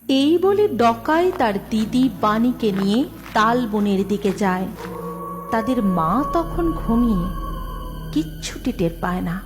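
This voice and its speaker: A woman tells a scripted children's story in a fairly high voice. Her narration is very lively in pitch, with music clearly behind it. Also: a little slow.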